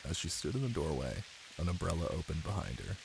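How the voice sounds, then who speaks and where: A man playing an affectionate, playful boyfriend in an intimate ASMR roleplay, with a deep, husky voice and soft, teasing delivery. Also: big pitch swings, noisy background, heavily acted. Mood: soft storytelling. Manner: soft, unhurried, teasing, with murmured pauses. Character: affectionate, playful, ticklish boyfriend reading aloud to partner. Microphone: close microphone. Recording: close, dry, with rain ambience. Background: rain.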